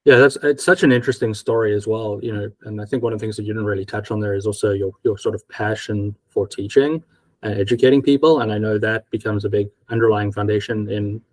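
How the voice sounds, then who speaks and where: Male co-host, South African accent with a Californian tinge, rapid delivery, dry close sound. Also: fairly low pitch, fairly even intonation, no room sound. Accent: mixed South African and Californian. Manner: brisk, encouraging interviewer prompts. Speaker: co-host, accountant. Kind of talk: casual podcast conversation. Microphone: video call.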